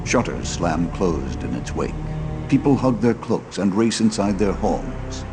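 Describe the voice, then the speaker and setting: A man's voice: fairly low pitch, animated, measured pace. A man playing a solemn storyteller, narrating an epic fantasy legend in a portentous, dramatic way for a video game. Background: loud music.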